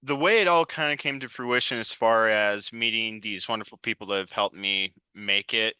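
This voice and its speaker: A man's voice: lowish voice, moderate pace, pushed and bright, clean tone, dry recording. A man in his twenties giving casual, informal, rambling answers as an interview guest. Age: 20s. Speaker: interview guest, writer and director.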